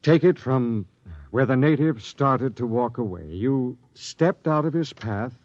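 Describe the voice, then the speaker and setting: Male actor as intense starship captain, earnest and probing, mid-pitched, muffled old radio drama sound with music. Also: expressive, unhurried, darkish tone, no room sound, close to an everyday voice. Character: earnest, driven spaceship captain facing a deadly plague. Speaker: lead actor and narrator. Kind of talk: 1950s radio drama acting, first-person narration. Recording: dull 1950s radio recording.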